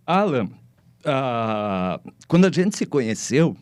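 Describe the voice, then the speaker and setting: A man's voice: mid-range voice, big pitch swings, slow pace, darkish tone, no echo. A man hosting a casual podcast interview, talking conversationally and often checking in with his listener. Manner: conversational, lots of confirming tags. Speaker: podcast host.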